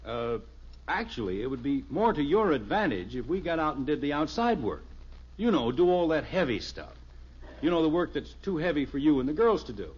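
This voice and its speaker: A man's voice: fairly high pitch, big pitch swings, fairly quick, breathy edge. An actor playing a genial, evasive middle-aged suburban father in a 1950s radio sitcom, folksy and hesitant with his excuses. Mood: sheepish. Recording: muffled vintage radio recording.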